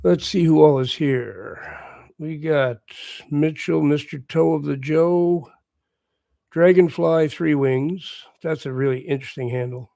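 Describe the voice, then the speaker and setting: A hoarse man speaks in a slow, unhurried way, still getting his voice back, as he chats quietly and warmly with his stream's viewers. His voice sits a touch high for a man, and the sound is close and dry, with no room echo. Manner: talks quietly, chatty and encouraging. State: hoarse, recovering lost voice. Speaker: livestream host. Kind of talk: casual chat with viewers. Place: at home with morning coffee.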